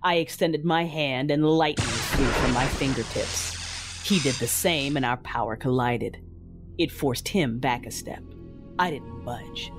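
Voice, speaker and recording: A woman performs a grieving, vengeful Sith ruler telling her own story. She is solemn and menacing, with a cold measured delivery and music playing behind her narration. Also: very deep voice. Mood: cold fury. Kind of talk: dramatic first-person scripted narration.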